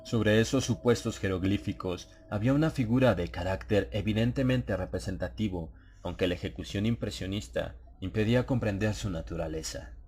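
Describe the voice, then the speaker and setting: A man reading a horror story as an audiobook narrator, in a measured, solemn, dramatized literary delivery. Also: fairly low pitch, a little mellow, a little hiss or hum.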